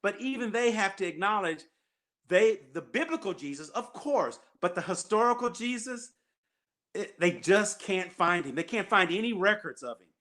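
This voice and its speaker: A man's voice: high-pitched, lively intonation, moderate pace, bright, forceful tone. A man hosting a call-in show, talking emphatically and repeating his key points. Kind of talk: casual call-in chat.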